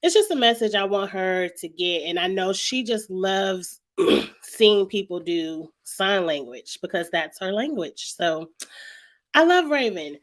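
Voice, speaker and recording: A woman hosting a casual review vlog, chatty and emphatic, her voice slightly raspy and stuffed up from a cold. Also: medium pitch, animated, unhurried. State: lingering cold with sinus congestion, clearing throat often. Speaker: YouTube show host reviewing reality TV. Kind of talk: casual vlog commentary to viewers.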